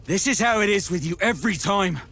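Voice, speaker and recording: A man's voice: high-pitched, animated, fairly quick, pushed and bright, slight background noise, heavily acted. A man playing a hot-tempered, defiant mercenary vice captain in a video game, accusatory and emphatic. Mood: indignant, angry. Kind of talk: video game cutscene acting.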